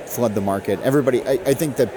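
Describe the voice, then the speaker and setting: A man's voice: medium pitch, rapid-fire, a crowd in the background. A man hosting a podcast, chatting casually with a guest and reading plugs at the close. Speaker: podcast host. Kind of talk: casual interview and show sign-off.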